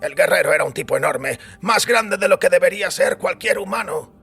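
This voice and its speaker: An actor playing a weary older priest who recounts a wild youth in a wry, reflective way, with a raspy voice. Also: male voice, high voice, animated, a little fast, bright, forceful tone, exaggerated voice. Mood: wry, reminiscing. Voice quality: raspy, gravelly. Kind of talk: dramatised audiobook acting. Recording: music bed under the voice.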